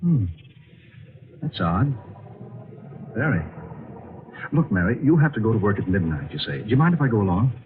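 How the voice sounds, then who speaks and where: A man's voice: fairly low pitch, sing-song, normal speed, long pauses. An actor playing an enthusiastic, boyish young man with slangy, curious talk in an old-time American radio drama. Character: eager, boyish young sweetheart, excitable and curious. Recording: tinny, noisy old radio recording.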